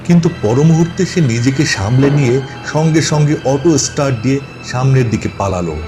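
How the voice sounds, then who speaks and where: A man's voice: medium pitch, expressive, brisk, music in the background. A man reading a scripted ghost story as its narrator.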